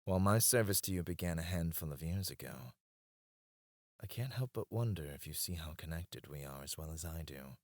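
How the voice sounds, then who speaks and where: A man voices a scheming royal advisor in an ASMR roleplay, with a very low voice that still rises and falls with a lot of life. He talks softly and teasingly, close to the microphone, and sinks to a near-whisper on his titles. The sound is dry, with no room around it. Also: expressive, brisk, dry recording. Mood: teasing, confiding. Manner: soft-spoken, dropping to a hush on titles; teasing and confiding. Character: scheming male royal advisor, smooth and devoted. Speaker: voice actor.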